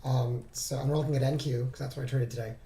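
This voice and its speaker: A man speaks in a hoarse, gravelly voice with a soft, mellow tone, as if his voice is only just returning. He teaches informally in a halting, think-aloud way, talking through a shared screen. The recording has a noticeable room echo. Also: mid-range voice, steady tone. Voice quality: raspy. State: hoarse, recovering from laryngitis. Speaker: trading instructor reviewing charts. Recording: roomy, echoing voice recording.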